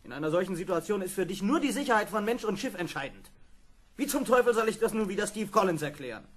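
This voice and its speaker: An actor playing an irritable space pilot in a vintage science fiction radio play, blunt and quick to grumble. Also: male voice, high voice, very animated. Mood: exasperated. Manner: exasperated, blunt. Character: irritable, grumbling male space pilot bickering with his robot. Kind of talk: vintage German sci-fi radio play acting. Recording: noisy old radio play with ambience.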